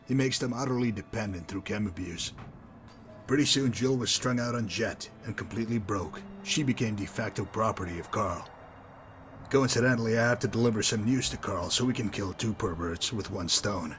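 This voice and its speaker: A low-pitched, sardonic underworld enforcer from a video game, acted by a man. He talks in wry, wordy sentences with mocking politeness and quiet menace. Music plays behind the voice. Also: natural voice. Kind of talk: scripted video game dialogue.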